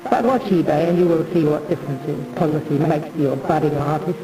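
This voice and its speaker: A rambling performer gives a mannered, theatrical monologue in the manner of an amateur stage artist. The voice sits far from the microphone in a roomy, echoing space. Music plays clearly behind the speech. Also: mid-range voice, fairly quick. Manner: rambling, mannered, addresses listener as "pal". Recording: roomy echo, distant mic, music behind.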